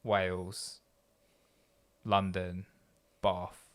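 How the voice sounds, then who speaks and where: A man's voice: low-pitched, level tone, drawn-out words, a bit bright. A man hosting a podcast interview who asks thoughtful, rambling questions and often restarts his sentences. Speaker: podcast host. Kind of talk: conversational interview.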